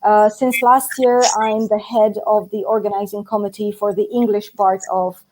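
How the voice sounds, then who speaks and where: A woman's voice: mid-range voice, flat delivery, normal speed, background noise. A young adult woman from Poland, a native Polish speaker, chatting as a guest on a live stream with frequent laughs and fillers, speaking over a video call from an office. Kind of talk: casual live-stream chat. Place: translation agency office.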